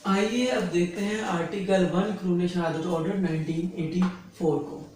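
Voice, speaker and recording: A man's voice: high voice, steady tone, normal speed, a little mellow. A man with a Pakistani accent giving an instructional lecture in a didactic manner, repeating key phrases for clarity. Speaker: lecturer and advocate explaining law. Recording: very echoey room, distant mic, faint noise.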